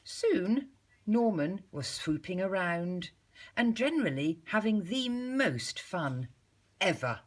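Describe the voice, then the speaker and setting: Lively, husky-edged woman reading a storybook. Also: mid-range voice. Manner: measured, animated storytelling with deliberate pauses. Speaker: teacher reading a story aloud to pupils. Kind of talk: expressive storybook reading for young children.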